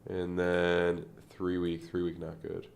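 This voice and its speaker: A man's voice: deep voice, completely flat delivery, slow pace, creaky. A man streaming live crypto chart commentary, rambling and thinking aloud with many fillers, sounding nasal and congested from a sinus infection.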